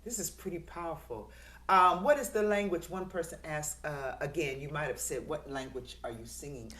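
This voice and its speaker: A woman's voice: sits low, moderate pace, a bit bright. A woman hosting an online event conversationally, in an effusive, admiring way. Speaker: host.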